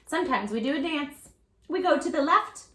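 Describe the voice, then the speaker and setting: A woman in her forties reading a picture book aloud at home, sing-song and animated, asking the listener questions. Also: sits high, moderate pace, roomy sound. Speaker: children's book reader. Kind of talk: read-aloud with tips for parents. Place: home room with posters.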